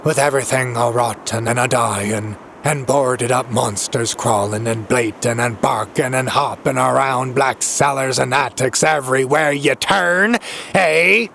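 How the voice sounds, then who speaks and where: A crazed old drunkard from a horror audiobook. He rants in frantic rustic dialect with a gravelly, creaking voice, rambling between muttering menace and terror. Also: medium pitch, unhurried, fairly bright tone, a little hiss or hum. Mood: frenzied, menacing. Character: crazed, terrified old New England drunkard ranting of horrors.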